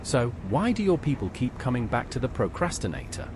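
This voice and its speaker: Man playing a very animated, earnest adventurer. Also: medium pitch, brisk, noisy background, close to an everyday voice. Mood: earnest, inquiring. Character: earnest, helpful male adventurer hero. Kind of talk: AI-voiced fantasy game dialogue.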